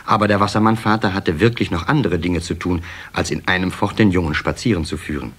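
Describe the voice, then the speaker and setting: Deep voiced guy narrating a kids story, bit raspy, measured. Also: a bit bright. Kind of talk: scripted children's story narration.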